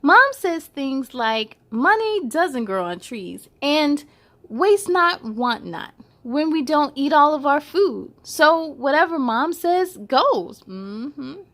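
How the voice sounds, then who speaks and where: A woman reading a picture book aloud to children in an animated, playful way, adding chatty little asides. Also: high-pitched, takes it slowly. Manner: playful, giggly, animated storytelling delivery.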